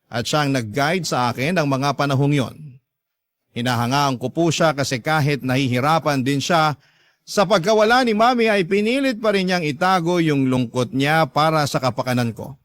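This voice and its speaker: Male Filipino radio narrator, slightly high, very dry sound, earnest delivery of a scripted personal letter. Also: normal speed, fairly bright tone, no room sound. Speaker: radio host reading a listener's letter. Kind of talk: dramatic scripted storytelling.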